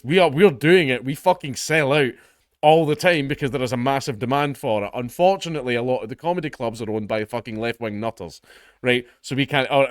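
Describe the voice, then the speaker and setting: A man's voice: no echo, studio quality. A Scottish man commenting on an article in a casual, sarcastic, sweary way, mocking the people he quotes. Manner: reads article passages in a lower, quieter voice. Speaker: online commentator.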